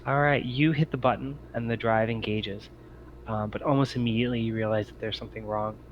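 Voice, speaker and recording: A man's voice: medium pitch, fairly even intonation, fairly quick. An easygoing man narrating a tabletop roleplaying game as game master in a casual, conversational way. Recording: slightly dull audio, faint background noise.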